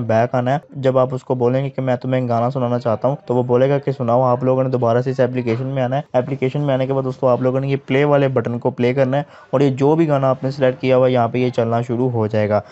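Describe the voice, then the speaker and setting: A man's voice: medium pitch, dark tone. A man with a Pakistani accent narrating a step-by-step app tutorial as host, addressing listeners as friends in repetitive instructional phrasing, on a lo-fi recording.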